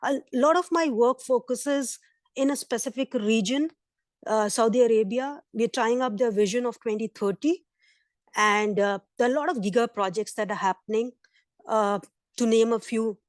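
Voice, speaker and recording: A hoarse woman presenting at a virtual conference session, earnest, with fillers and restarts. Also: fairly high pitch, no room sound. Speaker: invited speaker. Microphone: computer mic on video call.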